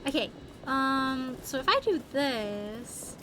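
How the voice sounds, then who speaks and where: Very high, lively woman streaming a game. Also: takes it slowly, noisy background. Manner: playful, exclamatory, thinks aloud. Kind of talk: casual gameplay commentary, chatting to viewers. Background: music behind the voice.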